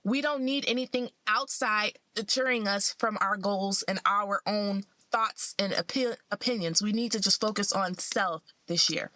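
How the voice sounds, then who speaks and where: Congested young woman vlogging, bright voice. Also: medium pitch, moderate pace, hardly any pauses, no echo, rough recording. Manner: upbeat, encouraging, preachy. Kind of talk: casual motivational vlog.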